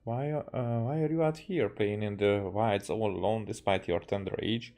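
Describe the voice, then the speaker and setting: A man, a game streamer reading dialogue aloud haltingly and correcting himself as he goes. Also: lowish voice, warm tone. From Hungary.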